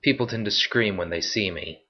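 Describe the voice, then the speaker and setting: Brief: guarded ex-soldier, read by a male narrator, low, terse, weary. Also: moderate pace, husky. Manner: terse and restrained. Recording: dull lo-fi recording.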